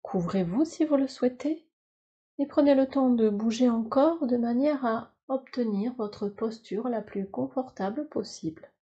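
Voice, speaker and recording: A woman teaching yoga nidra, leading a guided relaxation in a calm, soothing, instructional way with measured phrases. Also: a little high, breathy edge, muffled recording.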